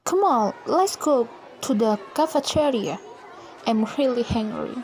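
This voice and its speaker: A woman's voice: fairly high pitch, animated, unhurried, darkish tone, a little hiss or hum, low-quality recording. A young woman playing an outwardly caring schoolgirl friend in an amateur radio drama. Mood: coaxing. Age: young adult.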